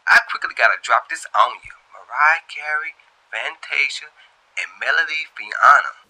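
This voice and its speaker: A man's voice: mid-range voice, moderate pace, bright, forceful tone, voice pushed up, rough recording. A man voicing a blunt, slangy young woman friend in a short story reading. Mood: excited.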